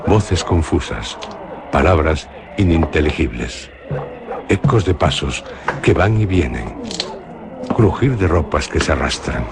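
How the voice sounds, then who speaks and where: Deep-voiced narrator of a horror radio drama. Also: male voice, noisy background. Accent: Spain. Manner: slow, grave, measured storytelling.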